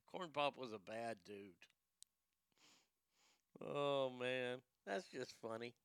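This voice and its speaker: A man's voice: medium pitch, lively intonation, drawn-out words, pauses often, a bit bright, clean tone, no room sound, studio quality. A man giving comedic news commentary, sarcastic and profane, who often breaks into laughter. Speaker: commentary host.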